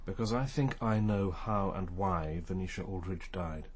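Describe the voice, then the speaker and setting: Radio crime drama: quiet, perceptive detective, lowish breathy voice, courteous and probing. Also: male voice, normal speed. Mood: grave, restrained. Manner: restrained, probing, formal.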